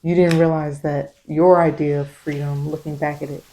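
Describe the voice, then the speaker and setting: Mellow-voiced woman giving spiritual tarot guidance. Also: low-pitched, flat delivery, normal speed, slight background noise, poor audio. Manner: repetitive, emphatic phrasing addressed directly to the listener; informal vernacular speech. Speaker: tarot reader, spiritual content host. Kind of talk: spiritual tarot guidance, ASMR-leaning monologue.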